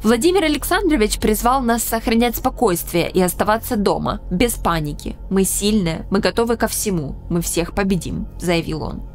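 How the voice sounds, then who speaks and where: Young woman host, mid-range voice, measured and earnest scripted narration to camera, quiet music bed. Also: mid-range voice, lively intonation. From Ukraine. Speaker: channel host. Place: small indoor room with a studio mic. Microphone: studio microphone in frame.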